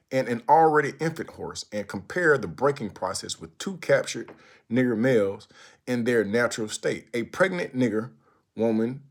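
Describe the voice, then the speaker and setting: A man reciting a written speech aloud in a deliberate, oratorical manner, with emphatic phrasing and pauses. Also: medium pitch, darkish tone. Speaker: narrator reading a historical speech aloud.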